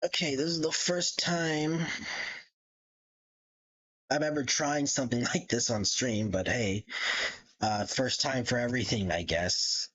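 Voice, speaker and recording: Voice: medium pitch, a little slow, pauses often, a bit bright, muffled recording. A live streamer chatting casually in a rambling, giggly way and swearing freely. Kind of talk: casual livestream chat.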